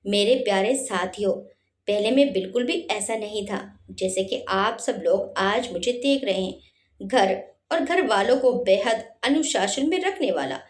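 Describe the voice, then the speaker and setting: Elderly husband over 60, earnest confession, roomy echo, voiced by a woman. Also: a little high. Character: elderly husband, cheerful yet serious, repentant.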